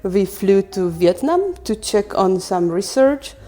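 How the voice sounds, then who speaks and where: Woman, mid-pitched voice. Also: sing-song, slow, dark tone. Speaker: podcast guest, landscape design teacher. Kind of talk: casual interview answers.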